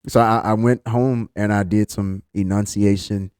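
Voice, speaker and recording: A man with a thick East Texas accent and a deep voice talking energetically as a podcast guest. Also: moderate pace, smooth, dry recording, studio quality. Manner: animated, energetic talker. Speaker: guest, amateur comedian. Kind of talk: casual conversation.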